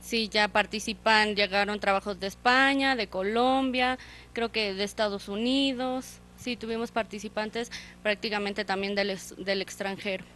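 A woman's voice: mid-range voice, fairly even intonation, moderate pace, a bit bright, a little hiss or hum. A young adult woman, a guest in a small studio TV interview, speaking into a handheld mic with some hesitation and fillers. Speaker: guest, contest organizer. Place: small TV studio set, indoors.

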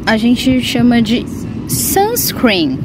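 A woman's voice: a little high, big pitch swings, very slow, music in the background, rough recording. A young Brazilian woman with a Brazilian accent, giving a casual bilingual vocabulary lesson outdoors on a beach, recorded on a phone at arm's length. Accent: Brazilian Portuguese. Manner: friendly, switches between Portuguese and English with fillers. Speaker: language teacher. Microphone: phone held at arm's length. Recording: loud outdoor wind and traffic noise.

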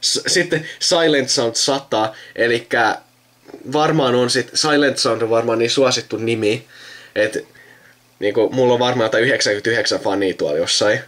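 A young man with an average-pitched voice talks casually. He rambles as he thinks aloud, often leaving sentences unfinished. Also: fairly bright tone. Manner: rambling, trailing off mid-sentence. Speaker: video host recording himself with a friend. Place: indoors at a computer.

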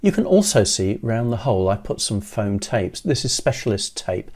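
An older man in his sixties giving scripted how-to narration in a measured, methodical way, as a presenter. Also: fairly low pitch, big pitch swings, darkish tone. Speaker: presenter of an electronics how-to video.

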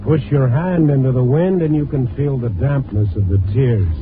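A man's voice: medium pitch, normal speed, soft background music, close to an everyday voice. Man playing a hard-boiled radio reporter, muffled recording. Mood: brooding. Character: hard-boiled, poetic Chicago night-beat newspaper reporter. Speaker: narrator and lead of a radio series. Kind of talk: 1950s radio drama narration. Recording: muffled old radio broadcast.

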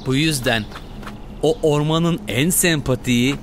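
A man's voice: on the high side, a little slow, slight background noise. A man narrating a children's fairy tale from a script.

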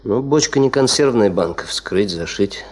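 An actor playing an eager, businesslike male expedition leader in a 1970s radio drama, brisk and hearty. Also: on the low side, animated, husky.

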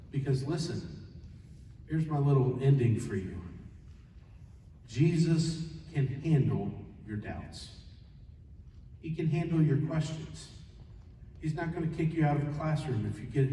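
A man, a pastor giving a plain-spoken, animated sermon to schoolchildren, full of rhetorical questions. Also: a little high, slight background noise. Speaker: pastor leading a children's chapel. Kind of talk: sermon to fifth and sixth graders. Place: large school gymnasium, indoors. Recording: echoey gym, distant microphone.

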